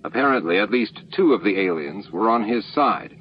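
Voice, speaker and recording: A man's voice: lowish voice, animated, normal speed. A man reading an audiobook in a scripted narration style. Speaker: audiobook reader. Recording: dull, noisy lo-fi book-on-tape recording.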